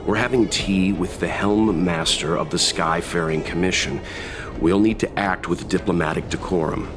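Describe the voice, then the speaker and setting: A man voicing a courteous, thoughtful traveler in a video game. His voice is deep and his talk is polite and reflective, with gentle dry humor. Music runs clearly underneath. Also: fairly even intonation, moderate pace, natural voice. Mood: cautioning. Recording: music behind the voice.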